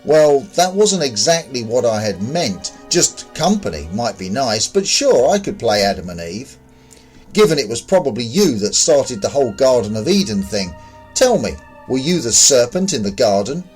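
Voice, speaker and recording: A man's voice: medium pitch, very animated, moderate pace, darkish tone, faint music behind, lightly acted, poor audio. A man voicing a resurrected lone male survivor in a story, wry, wary and conversational.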